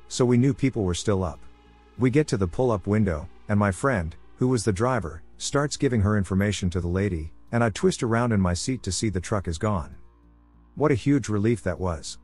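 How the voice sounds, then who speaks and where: A man with a fairly low voice reads scary first-person stories in a measured, deliberate way. He pauses for effect between short lines. Faint music plays under his voice. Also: a little mellow. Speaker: narrator. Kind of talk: scripted horror story narration.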